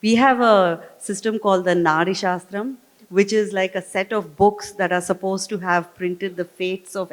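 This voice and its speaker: A woman with an Indian accent moderating a stage talk in an informal, conversational and digressive way. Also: fairly low pitch. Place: festival hall stage. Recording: echoey hall with long reverb.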